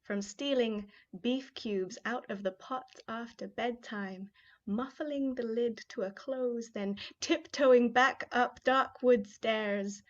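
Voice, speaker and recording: A woman's voice: on the high side, slow pace. A young woman from Kenya hosting an online poetry showcase and reading her own spoken-word poem, chatty and upbeat with fillers between remarks. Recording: dull, muffled live-stream audio.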